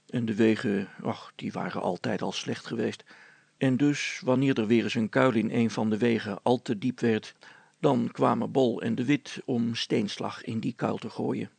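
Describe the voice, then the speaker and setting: A man narrates a scripted story in a gravelly, husky voice of medium pitch. The sound is close and very dry. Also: a little mellow, dry recording.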